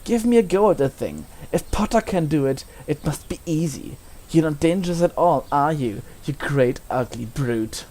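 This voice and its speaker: A woman's voice: sits low, lively intonation, normal speed, warm tone. A woman playing a spoiled, sneering schoolboy bully with a haughty, taunting, theatrical delivery.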